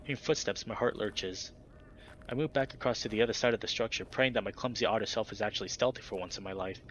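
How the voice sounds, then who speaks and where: A man's voice: fairly low pitch, quick pace, a bit bright, lo-fi sound, slight background noise. A man casually reading a visual novel aloud, with offhand asides to his viewers. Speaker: let's play narrator.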